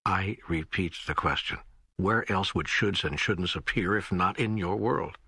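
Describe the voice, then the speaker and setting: A man playing an earnest, troubled seeker who questions God in an audiobook dialogue, with a raspy voice. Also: sits low, unhurried, sharp tone, no echo, full character voice. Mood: puzzled, insistent. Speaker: audiobook narrator. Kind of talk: scripted audiobook dialogue reading.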